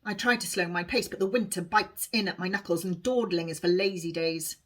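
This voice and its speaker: A woman reading a novel aloud as its author, in expressive, dramatic storybook narration for young listeners. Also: on the low side, fairly quick.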